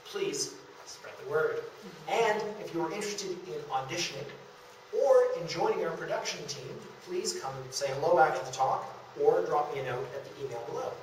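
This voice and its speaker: A young man delivers a prepared lecture in a fairly high voice. He is picked up from a distance in a very echoey room, with some noise underneath. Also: animated, moderate pace. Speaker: lecturer presenting a local theatre history talk. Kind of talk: prepared public lecture, scripted. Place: meeting room in a historic house, at a podium. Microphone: distant camera microphone. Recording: echoey room, distant camera, background hiss.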